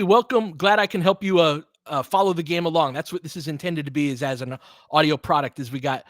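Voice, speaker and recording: A man's voice: a little high, no room sound, clean studio sound. A man hosting a casual live basketball stream, mixing play-by-play with conversational, slangy analysis as he thinks aloud. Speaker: host and play-by-play commentator.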